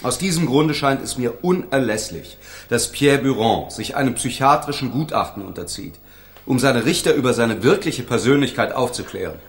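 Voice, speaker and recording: A man acts out a principled courtroom defender for a radio play. His mid-pitched delivery is formal and measured, earnest and quietly stubborn. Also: expressive, natural voice. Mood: earnest, insistent. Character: earnest, insistent male defence lawyer in court. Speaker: actor in radio crime drama. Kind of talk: German radio play acting.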